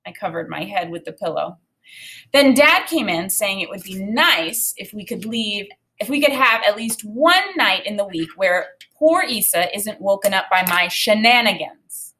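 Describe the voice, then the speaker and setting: Bright-voiced woman teacher reading aloud. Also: medium pitch, animated, normal speed. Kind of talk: read-aloud lesson with think-aloud commentary. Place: small indoor room with desk and bookshelf.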